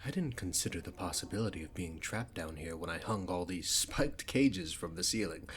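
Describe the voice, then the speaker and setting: A man acting a haughty, menacing spirit king of nightmares with a British accent, in a theatrical ASMR roleplay delivery that shifts between hushed and booming. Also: expressive, moderate pace, a little hiss or hum. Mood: brooding, wary. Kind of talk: ASMR roleplay villain monologue.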